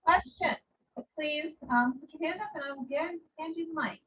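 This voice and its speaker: A woman hosting a museum event who talks in a big, booming, enthusiastic voice. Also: normal speed. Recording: echoey, muffled sound from far off.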